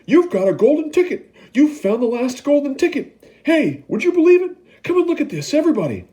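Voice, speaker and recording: A man voicing a fat, excitable shopkeeper for a storybook, pitched far above the narrator's own voice and booming with overexcited yelling. The animated voice leaps around constantly under a dark, mellow timbre. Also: a little slow. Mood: ecstatic shouting.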